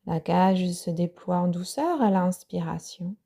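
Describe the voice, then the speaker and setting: A woman yoga teacher guiding a yoga nidra relaxation, speaking softly with breathy, half-whispered phrases. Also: on the low side, lively intonation. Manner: slow, soft-spoken guidance with breathy, half-whispered phrases.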